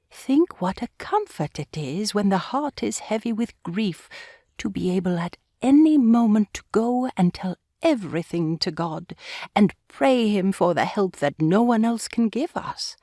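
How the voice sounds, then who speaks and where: Gentle old grandmother, lilting and gracious. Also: mid-range voice, no room sound, clean studio sound. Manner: gentle, measured, earnest. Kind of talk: full-cast audiobook acting.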